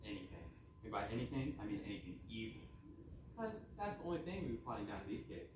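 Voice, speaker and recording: A man's voice: fairly low pitch, sing-song, fast talker, a little hiss or hum. A man playing a sly, eager evil henchman and lover in a comic stage play, smarmy and conspiratorial. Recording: very echoey room, distant mic.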